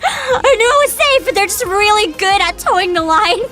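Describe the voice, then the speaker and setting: A woman chatting casually as a livestream host, excitable and giggly, with dramatic emphasis. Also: extremely high pitch, moderate pace, faint music behind.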